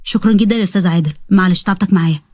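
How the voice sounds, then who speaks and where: A woman's voice: fairly low pitch, very fast, no room sound, close to an everyday voice. A woman with an Egyptian accent voicing a young man, a protective and earnest older brother.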